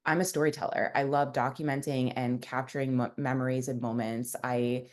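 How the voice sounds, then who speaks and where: A woman's voice: rumbling low, level tone, a little fast, darkish tone. A young woman, a founder, telling her story in a casual interview, rambling with many fillers. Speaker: founder and guest.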